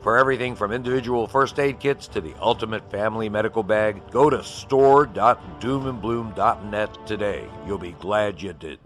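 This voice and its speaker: A man delivers a scripted commercial as a doctor. His voice is fairly low, with soft music behind him. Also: normal speed, few pauses. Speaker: doctor advertising medical kits. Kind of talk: scripted ad read.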